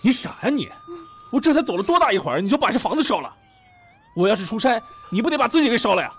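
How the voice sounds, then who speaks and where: A man's voice: extremely high pitch, sing-song, quick pace, fairly bright tone, a little hiss or hum, exaggerated voice. A man acting a caring, protective young man in an amateur Chinese audio drama. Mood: scolding. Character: caring young man, protective older-brother type, worried but doting.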